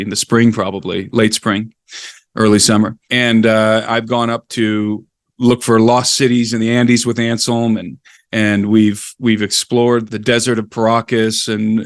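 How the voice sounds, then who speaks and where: A man's voice: medium pitch, no echo, clean studio sound. A middle-aged man talking as a podcast guest, telling history in a casual, digressive way. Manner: digressive, explains history at length. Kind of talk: casual storytelling conversation.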